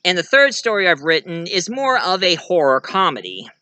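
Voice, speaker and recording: A man giving a casual show-and-tell of his own fiction, rambling and halting mid-sentence to correct himself. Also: high voice, very animated, bright, forceful tone, clear, resonant tone, no room sound. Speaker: amateur writer and online video creator.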